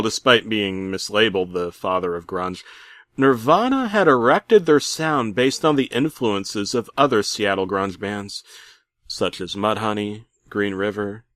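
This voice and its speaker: A man playing a bitter middle-aged working dad who narrates a comic horror story with theatrical, aggrieved deadpan. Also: on the low side, no echo, clean studio sound. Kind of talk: comedic creepypasta narration.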